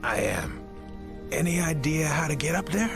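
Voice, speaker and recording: An actor playing a weary, bitter middle-aged academic mentor with a gravelly, hesitant delivery in a video game. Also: male voice, a little high, big pitch swings, moderate pace. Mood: awed, eager. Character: weary, bitter, bookish male scholar and former mentor. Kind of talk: video game cutscene acting. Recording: music under the voice.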